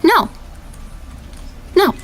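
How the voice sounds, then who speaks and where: A woman's voice: extremely slow, fairly bright tone. A woman acting an anxious, self-critical surveillance analyst in an audio drama. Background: music.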